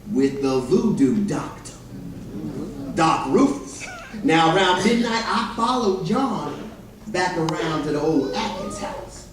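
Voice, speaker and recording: A man plays an old-time American storyteller spinning folksy tales for the stage. His voice is high and animated, heard from a distance in a very reverberant chapel with faint noise. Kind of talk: readers theater monologue.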